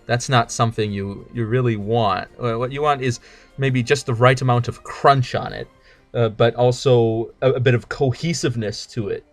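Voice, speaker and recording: A man's voice: mid-range voice, steady tone, moderate pace, soft background music. A man streaming a card game with casual, rambling commentary, joking around and stumbling over his words as he restarts sentences. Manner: rambling, jokey, stammers and restarts.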